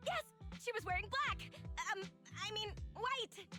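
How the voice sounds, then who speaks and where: Very high-pitched flustered schoolgirl. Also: expressive, music in the background. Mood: flustered, insistent. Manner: stammering, hurried self-corrections. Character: excitable teenage schoolgirl, cute, flustered bad liar. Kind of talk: anime-style video game voice acting.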